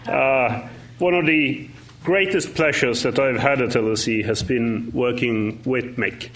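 A man's voice: medium pitch, a bit bright. Courteous Norwegian-born man lecturing. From Norway. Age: 50s. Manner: measured, courteous, lightly humorous. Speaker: guest lecturer. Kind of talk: lecture opening.

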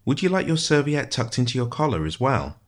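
A man's voice: medium pitch, sing-song, brisk, pitched above the performer's own voice, natural voice. A man voicing a good-humoured, bantering jailer with a sing-song manner in a storybook reading. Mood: teasing.

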